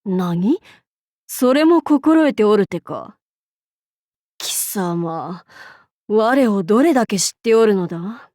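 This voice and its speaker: Haughty demon king warlady for a game, slow old-timey commanding speech, bone dry studio sound. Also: mid-range voice, animated, a little mellow, no room sound. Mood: teasing, puzzled. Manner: archaic, lordly, commanding speech. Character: haughty female demon-king warlord, imperious yet amused. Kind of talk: Japanese game character voice lines.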